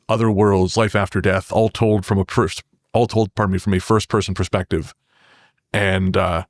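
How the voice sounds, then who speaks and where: A man's voice: sits low, no echo, clean studio sound. A man speaking as a podcast guest, explaining things in a conversational interview style. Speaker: podcast guest, horror writer.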